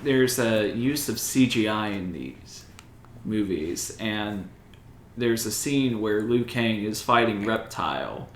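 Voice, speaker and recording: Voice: mid-range voice, lively intonation, slow. A podcast co-host chatting casually about movies and games, swearing and sounding mock-exasperated. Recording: roomy echo with faint noise.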